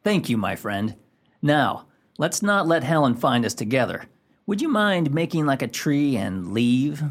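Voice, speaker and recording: A man's voice: mid-range voice, big pitch swings, unhurried. An actor playing a surly, domineering man in a radio comedy, blunt and emphatic in his delivery. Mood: sly, confident. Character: surly, domineering man scolding the woman who adores him. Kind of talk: radio comedy play acting.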